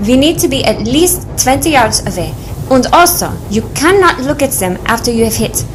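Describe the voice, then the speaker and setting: An actress playing a proud, coolly confident scientist in an audio adventure drama, speaking with formal precision. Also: female voice, high-pitched, big pitch swings, moderate pace, noisy background. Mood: matter-of-fact.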